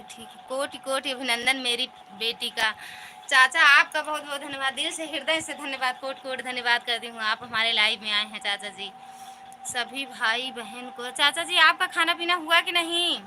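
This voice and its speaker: A woman's voice: fairly high pitch, slight background noise, rough recording. A woman with a rural north Indian Hindi accent hosting a live stream, reading out viewers' comments and thanking them one by one in a casual, repetitive way. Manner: warm, repetitive greetings and thanks.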